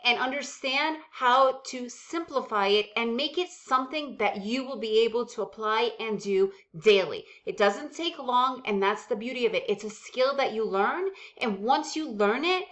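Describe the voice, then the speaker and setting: A woman's voice: on the high side, a bit bright. Upbeat woman vlogger, slightly muffled audio. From Soviet Union, raised in the United States. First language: Russian. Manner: upbeat, chatty, asks viewers questions. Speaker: day-trading channel host. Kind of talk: casual direct-to-camera vlog.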